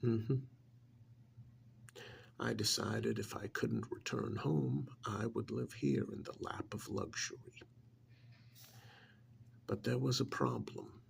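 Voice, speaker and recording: A man playing an arrogant, contemptuous ancient alien in an ASMR roleplay, speaking in a gravelly, breathy half-whisper with deliberate, condescending pauses. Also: medium pitch, fairly even intonation, a little hiss or hum. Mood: sly, self-satisfied. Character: arrogant ancient alien stranded in a human body, contemptuous and menacing.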